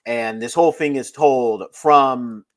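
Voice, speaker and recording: A man co-hosting a podcast, giving a casual, unscripted review in a halting way with many filler words. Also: medium pitch. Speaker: podcast co-host leading a review.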